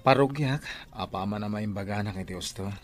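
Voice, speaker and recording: A man playing a low-voiced, humble Ilocano husband. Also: brisk, faint music behind, natural voice. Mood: respectful, hopeful. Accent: Ilocano Filipino. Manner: earnest, respectful. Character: humble, remorseful young husband and dutiful son. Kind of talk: Ilocano radio comedy drama acting. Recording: noisy background ambience.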